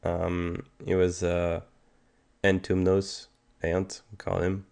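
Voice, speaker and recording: A man's voice: sits low, completely flat delivery, slow pace, vocal fry, a little mellow. A Canadian man in his thirties, sick with a stuffed nose and sore throat, chatting casually and rambling on a livestream. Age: 30s. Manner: rambling and hesitant, many ums and restarts. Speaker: music streamer.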